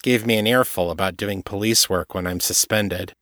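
A man's voice: low voice, fairly even intonation, moderate pace, a bit bright, no echo, clean studio sound. A man voicing a blunt, frustrated young woman police detective who speaks glumly. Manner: blunt and glum.

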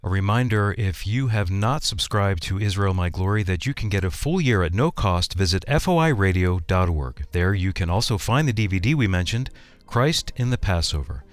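A man's voice: low voice, lively intonation, normal speed, no room sound. A man co-hosting a ministry radio program, speaking in an announcer's style. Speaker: radio co-host, announcer and executive producer. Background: music.

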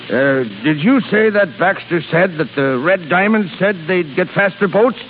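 Casting: blustery shipping magnate in his sixties, salty and hot-headed, lively higher pitch, old crackly radio play. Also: normal speed. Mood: scheming. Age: 60s. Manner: blustering, sputtering, salty seafaring insults. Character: blustering, crusty old shipping boss, quick to anger. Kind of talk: 1930s radio acting. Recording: crackly, tinny 1930s radio broadcast.

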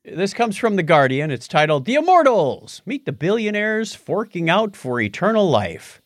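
A male podcast host in casual news-chat mode. His pitch moves around in a lively way, and his delivery is conversational and halting, with a mildly skeptical edge. The sound is close and dry. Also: no echo.

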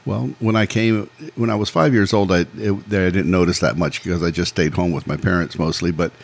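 A man in his sixties, from Peru, recounting his life in a measured, plainspoken way as a podcast guest. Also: deep voice, fairly even intonation, vocal fry. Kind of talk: casual interview storytelling.

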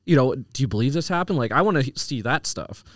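A man's voice: mid-range voice, animated, fast, dry recording. A man chatting casually as a panelist in a sports podcast debate.

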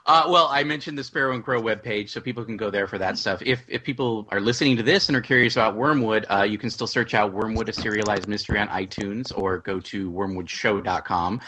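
A man's voice: on the low side, fast talker, few pauses, pushed and bright, a little hiss or hum. A man speaking casually as a guest writer-producer in a panel discussion.

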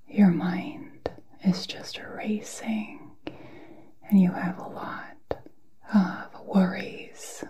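Very slow whispering ASMR woman. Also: medium pitch. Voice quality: breathy, soft whisper. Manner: slow, soothing, halting delivery with long pauses. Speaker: ASMR channel host and meditation guide. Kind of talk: whispered ASMR guided meditation.

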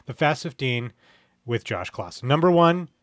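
A man's voice: mid-range voice, big pitch swings, moderate pace, no echo, studio quality. A Canadian man hosting a podcast with casual, joking banter and mock-formal questions. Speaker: podcast host.

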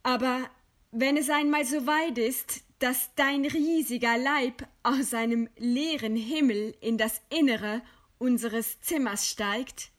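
A woman acts out a condemned idealist in a radio drama, proud and resigned. The voice is fairly high and very slow. Each phrase is measured and solemn, declaimed with dignity. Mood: solemn, resolute. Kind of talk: literary radio play acting.

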